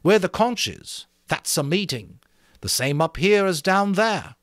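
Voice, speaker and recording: Man playing an earnest, high-voiced schoolboy chief. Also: animated, a little slow, no echo, studio quality.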